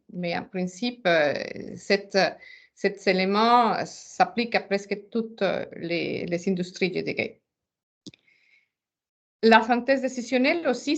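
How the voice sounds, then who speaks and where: A non-native French-speaking woman with a foreign accent presenting a webinar informally, searching for words and often repeating them. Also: medium pitch. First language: not French.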